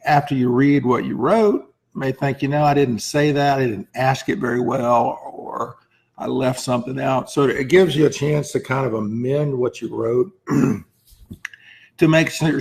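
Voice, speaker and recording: A man hoarse with laryngitis, speaking as a lawyer hosting an informal advice talk and often clearing his throat. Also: medium pitch, a little mellow. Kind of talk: informal explanatory talk to camera.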